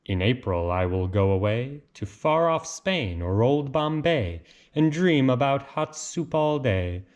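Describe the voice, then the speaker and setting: A man reads rhyming picture-book verse aloud at an unhurried pace. His mid-pitched voice swings widely and theatrically, with a sing-song lilt made for children. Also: sing-song, darkish tone. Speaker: read-aloud storyteller.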